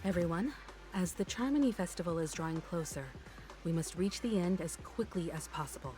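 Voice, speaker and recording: An actress playing a calm, polite young woman in a game, speaking in a measured, formal way. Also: fairly low pitch, faint music behind, lightly acted. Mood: calm, matter-of-fact. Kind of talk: game drama dialogue.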